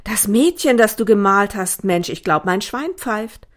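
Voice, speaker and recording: Storybook role: gobsmacked slangy boy, played by a woman, loud, blurting, slightly raised pitch. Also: lively intonation, unhurried, lightly acted. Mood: astonished. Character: Jannis, astonished dark-haired boy, slangy best friend.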